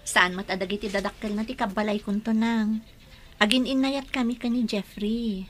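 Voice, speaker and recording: A woman's voice: mid-range voice, steady tone, moderate pace, a bit bright, soft background music, close to an everyday voice. Woman voicing a young woman in love, earnest, pleading, radio drama. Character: young woman in love, defending her choice. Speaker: daughter. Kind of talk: amateur radio drama acting.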